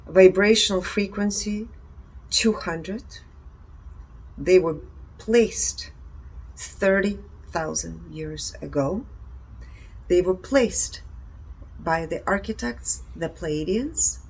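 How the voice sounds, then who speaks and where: A woman's voice: fairly low pitch, slow pace, long pauses, slight background noise, low-quality recording. A woman presenting findings and personal commentary in short, emphatic, earnest phrases. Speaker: presenter reporting energy readings.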